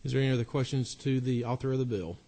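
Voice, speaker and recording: Mellow-voiced man chairing a hearing. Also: mid-range voice, fairly even intonation, racing. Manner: procedural, courteous. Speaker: committee chairman.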